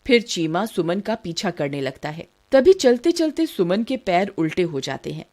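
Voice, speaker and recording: A woman's voice: lowish voice, big pitch swings, normal speed, a little mellow. A woman giving scripted narration of a story as its narrator.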